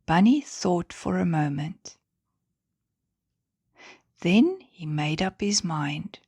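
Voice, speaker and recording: A woman narrating a children's bedtime story in a gentle, expressive manner. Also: lowish voice, slow, breathy edge, no echo, studio quality.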